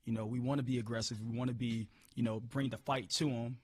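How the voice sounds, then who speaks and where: A hoarse-voiced man coaching football, answering press questions upbeat, often repeating words as he restarts. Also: mid-range voice, fairly even intonation, fast talker. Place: media room.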